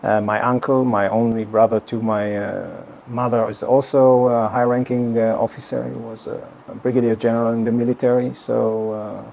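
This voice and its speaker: An older man from Israel speaks English with an Israeli accent, pausing often as he searches for words. His voice sits at a middling pitch. Faint ambient hiss hangs in the background. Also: level tone, vocal fry, darkish tone. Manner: halting, frequent uh pauses. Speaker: retired general, interview guest. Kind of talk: interview answers. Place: at home.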